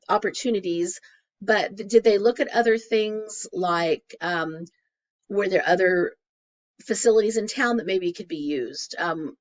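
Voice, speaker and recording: A woman with a crackly, hoarse voice walks through a case study for a class in a loose, conversational way, often pausing on "um". Her pitch sits in the middle range. The recording sounds dull and lo-fi. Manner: conversational, many "um" fillers. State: hoarse from a cold. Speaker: graduate student presenting a case study.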